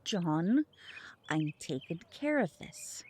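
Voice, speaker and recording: An actress playing a composed, knowledgeable mother with a measured manner in an audio drama. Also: lowish voice, very animated, dark tone, a little hiss or hum. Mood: placating.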